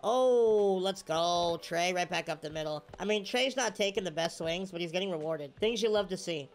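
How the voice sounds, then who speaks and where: A young man streaming a baseball game, talking casually and yelling at the plays, a bit congested with a slight cough, on a headset mic at home. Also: high-pitched, moderate pace, soft background music. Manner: excitable, yelling at the game, slangy "bro". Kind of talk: casual gameplay commentary.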